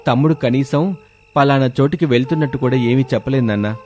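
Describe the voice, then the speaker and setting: A man's voice: medium pitch, quick pace, darkish tone, soft background music, close to an everyday voice. A man playing a dutiful elder son who speaks plainly and respectfully in a storybook tale.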